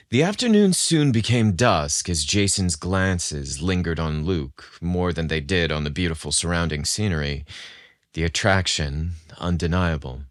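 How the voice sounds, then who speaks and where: A man's voice: low-pitched, fairly bright tone, dry recording, studio quality. A man reading a scripted romance story as an audio fiction narrator.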